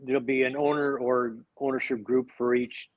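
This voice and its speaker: A man's voice: medium pitch, level tone, normal speed, dry recording. Rambling man asking questions, dry sound. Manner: enthusiastic, rambling questions with repeated restarts. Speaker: host. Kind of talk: casual interview chat. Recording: dry, slightly dull recording.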